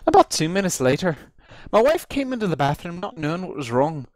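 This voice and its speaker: Theatrical man reading, swooping expressive pitch. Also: a little fast, dry recording, studio quality. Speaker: amateur reader of a comic story. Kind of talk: dramatic read-aloud of a humorous first-person story. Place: recorded over an online voice chat.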